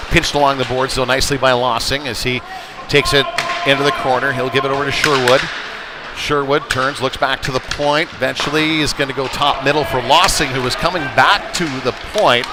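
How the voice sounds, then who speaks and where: A man's voice: medium pitch, fairly even intonation, brisk. A man doing live play-by-play commentary of an ice hockey game, following the play in conversational, clipped running phrases. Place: indoor ice hockey rink. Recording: noisy live rink stream, loud background noise. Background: loud rink noise.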